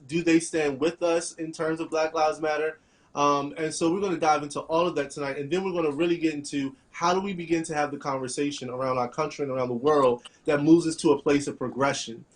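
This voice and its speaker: A man hosting an online panel discussion in a halting way, repeating phrases, from a room at home on a video call. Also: fairly high pitch. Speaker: host. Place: small room at home, indoors.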